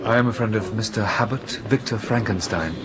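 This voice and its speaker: A man playing a troubled young gentleman scientist in a radio drama, speaking formally, earnest and hesitant. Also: fairly low pitch, brisk, noisy background, natural voice. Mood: polite. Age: young adult.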